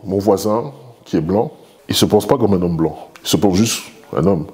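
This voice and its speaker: A man's voice: deep voice, moderate pace, warm tone. A man in his forties from Congo, answering interview questions thoughtfully, with many hesitations and repeated words. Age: 40s. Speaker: guest, father of the host. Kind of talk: relaxed interview talk.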